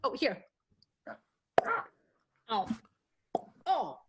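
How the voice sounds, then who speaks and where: A woman playing a giggly, agreeable vaudeville friend in an improvised radio soap, easygoing and drawn-out in her replies. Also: a little high, sing-song, loud music behind the voice, exaggerated voice. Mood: supportive.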